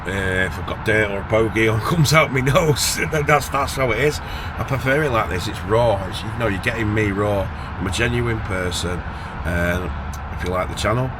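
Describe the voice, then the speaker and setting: A man's voice: on the low side, normal speed. A man in his late forties with a Northern English accent, rambling informally and thinking aloud as he chats to viewers in an unscripted vlog. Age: late 40s. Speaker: van-life vlogger. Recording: noisy, traffic and vehicle ambience. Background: traffic and vehicle noise.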